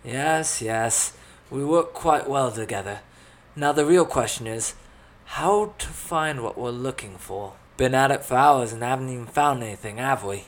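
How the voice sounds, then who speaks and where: A narrator voicing a cocky, commanding adventurer man in a fantasy story, with a brisk, self-assured delivery. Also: medium pitch, voice pushed up, natural voice.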